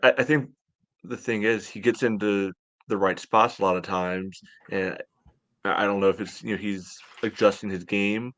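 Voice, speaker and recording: A man in his thirties giving casual sports analysis in a measured, conversational way as a podcast co-host on a video call from home. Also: lowish voice, fairly even intonation, fairly bright tone. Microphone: headset on video call.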